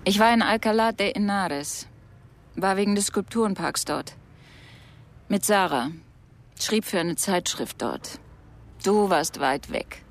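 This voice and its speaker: A woman's voice: mid-range voice, measured pace, pauses often, natural voice. An actress playing a reflective woman in a radio drama, confiding a memory as if telling a story. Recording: music behind the voice.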